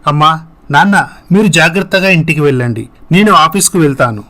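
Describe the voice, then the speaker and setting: A man performs a dutiful young adult son in a moral-story drama. The voice sits fairly high for a man and is respectful, polite and earnest. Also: brisk, sharp tone. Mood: caring. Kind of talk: scripted moral-story voice acting.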